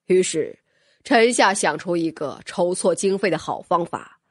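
An actor playing a scheming, obsequious court minister in a fantasy audiobook, speaking in formal, wordy, deferential phrases. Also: male voice, high-pitched, lively intonation, a little slow, a bit bright, no echo, studio quality, full character voice. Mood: deferential, ingratiating. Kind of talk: audiobook character acting.

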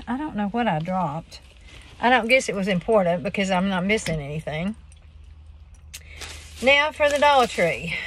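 A woman's voice: mid-range voice, fairly bright tone. A woman, chatting casually in a folksy, rambling way as she shows things on a craft vlog. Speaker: craft vlogger. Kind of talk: casual chat, show-and-tell. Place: inside a parked car on a rainy day. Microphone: phone at arm's length. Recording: noisy car phone audio, crinkling packaging. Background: clear noise, crinkling and clicks.

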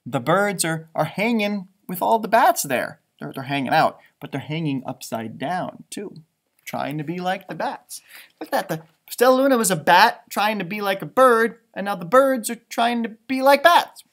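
A man's voice: high-pitched, moderate pace. American male storyteller, thirties, swooping intonation, chatty children's read-aloud. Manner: animated, expressive, wondering. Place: indoors, a library with bookshelves.

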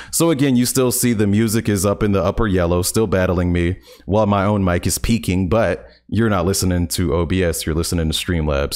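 A man in his thirties with a very deep, low voice leads a relaxed streaming tutorial. He talks in an upbeat way and drops playful jokes between the steps. He records at home on a studio mic on a boom arm. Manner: upbeat, playful, joking asides. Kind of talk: casual tutorial. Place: home streaming room, indoors. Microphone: large studio condenser on boom arm.

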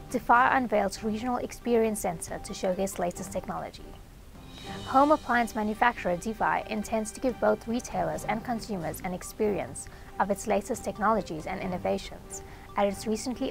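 A woman's voice: medium pitch, fairly quick, gravelly, over music. A young woman in her twenties reading scripted headlines as a news presenter. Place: studio.

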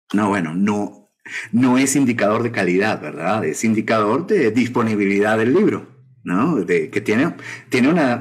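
A man's voice: on the low side, breathy edge. Man lecturing with rhetorical questions. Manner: conversational, rhetorical tag questions. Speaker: lecturer on academic publishing. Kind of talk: conference talk over slides. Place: indoor room at a desk. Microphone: video call webcam mic.

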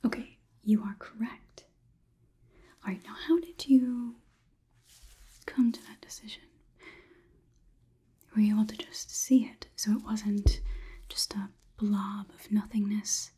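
A young woman whispering an ASMR roleplay close to the microphone as a detached robot overlord, in a deliberate, inquisitive, clinical manner. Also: mid-range voice, fairly even intonation, mellow, breathy edge. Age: young adult. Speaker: ASMR performer.

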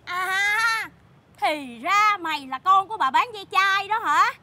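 A woman's voice: very high-pitched, expressive, takes it slowly, noisy background, heavily acted. A woman playing a brash, taunting cream seller. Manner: taunting, coarse. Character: brash, rude woman selling fake beauty cream. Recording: loud music bed behind the voice.

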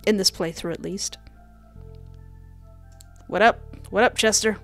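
Young woman doing a lets play, reads out game text, medium pitch, music behind. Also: steady tone, unhurried, fairly bright tone. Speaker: gaming video host. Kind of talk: let's play commentary with dramatic read-aloud narration. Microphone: close desk microphone with headset.

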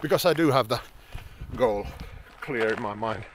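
A man's voice: mid-range voice, moderate pace. A man in his thirties with a Finnish accent, talking casually to camera while out on a run on a snowy roadside, thinking aloud with many fillers and restarts. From Finland. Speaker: vlogger. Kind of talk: casual vlog while out running. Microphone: small wired mic, camera held close. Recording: outdoor wind and traffic noise.

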